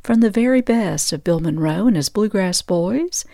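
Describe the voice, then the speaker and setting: A woman's voice: medium pitch, animated, moderate pace, gravelly, dark tone. A woman hosting a radio show and podcast, reading scripted announcements and narration.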